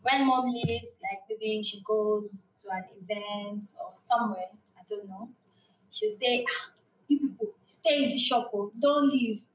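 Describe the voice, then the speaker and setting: A woman's voice: mid-range voice, measured pace, slight background noise. A young Nigerian woman with a Yoruba first language and a Nigerian accent, chatting casually and animatedly, laughing often and using many fillers. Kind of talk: casual chat. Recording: roomy sound, distant mic.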